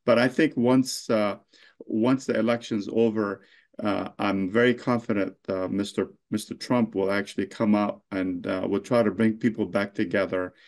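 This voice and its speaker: A middle-aged man born in Lebanon, a mayor answering interview questions in a halting way, full of fillers and restarts. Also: lowish voice, fairly even intonation, a little mellow.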